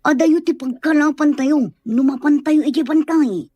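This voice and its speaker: A woman's voice: high-pitched, moderate pace, dark tone, no room sound, natural voice. A woman playing an anxious, protective elder sister, pleading with concern in a Filipino radio drama. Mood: worried.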